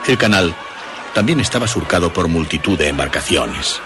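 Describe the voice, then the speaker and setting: A man's voice: deep voice, lively intonation, normal speed, background noise. A man narrating a scripted suspense story as its storyteller. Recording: music behind the voice. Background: music.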